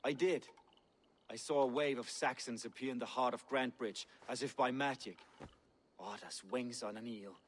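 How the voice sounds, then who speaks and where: A man's voice: mid-range voice, close to an everyday voice. An actor playing a proud, zealous male Viking warrior who talks grandly and bristles when questioned. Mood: boastful. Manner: grandiose, boastful, quick to bristle. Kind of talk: game dialogue.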